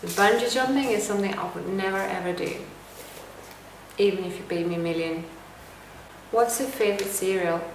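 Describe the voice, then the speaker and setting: Gravelly-voiced woman in an echoey room. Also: medium pitch, fairly quick, pauses often, slight background noise. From Lithuania. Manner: calm, reflective, reads questions aloud. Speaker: lifestyle vlogger. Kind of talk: casual to-camera Q&A. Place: indoor room at home. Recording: echoey room, voice a bit distant.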